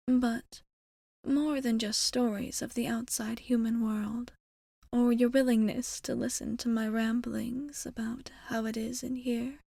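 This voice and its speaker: An ancient vampire woman, acted out by a woman for an intimate ASMR roleplay. Her voice is fairly high yet soft and mellow, often sinking into a breathy half-whisper. She speaks very slowly, with formal, measured tenderness, close to the microphone. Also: flat delivery, no room sound. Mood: wistful, tender. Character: ancient female vampire, tender, formal, quietly lovestruck bar owner.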